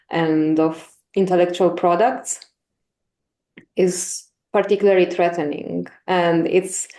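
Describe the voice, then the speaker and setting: Woman guest researcher. Soft-edged dark tone, slightly low, earnest reflective talk, noticeably echoey recording. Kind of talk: reflective conversational answer. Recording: roomy, echoey sound.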